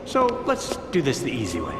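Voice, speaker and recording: Lively, wisecracking street rogue. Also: male voice, mid-range voice, fairly quick, very noisy. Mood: smooth, casual. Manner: flippant, casual, excitable. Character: glib, wisecracking male street-wise rogue. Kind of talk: video game cutscene voice acting. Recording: echoey hall, loud music behind.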